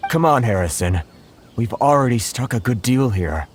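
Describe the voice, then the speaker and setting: A man playing a polite, shrewd merchant negotiator in a video game, speaking in a measured, courteous way. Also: medium pitch, expressive, a little hiss or hum, lightly acted. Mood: placating. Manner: measured, courteous, veiled pressure. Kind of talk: video game cutscene acting. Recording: music under the voice. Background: background music.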